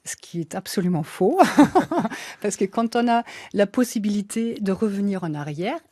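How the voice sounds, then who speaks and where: A woman's voice: medium pitch, animated, unhurried, mellow, no room sound. A woman who is not a native French speaker, an author speaking as a guest in a radio interview, hesitant with many fillers and restarts.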